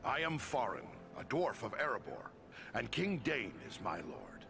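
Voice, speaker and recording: An actor playing a sturdy, plain-spoken dwarf warrior in a fantasy game. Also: male voice, mid-range voice, big pitch swings, normal speed, vocal fry, noisy background. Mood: friendly.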